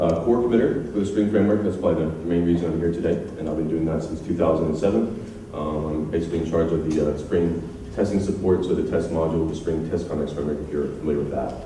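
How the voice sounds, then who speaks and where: An American man giving a technical conference talk in a relaxed, chatty way with frequent ums. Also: very deep voice. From United States. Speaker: conference speaker. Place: large conference hall. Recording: echoey hall, distant mic, faint noise.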